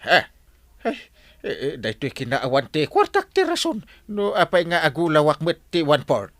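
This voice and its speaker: An actor playing a lazy, sleepy village captain in a comic radio drama, stammering and evasive with an Ilocano accent. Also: male voice, a little high, very animated, normal speed, fairly bright tone, no room sound, studio quality. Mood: surprised, bumbling. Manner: stammering, full of "eh" and "ay".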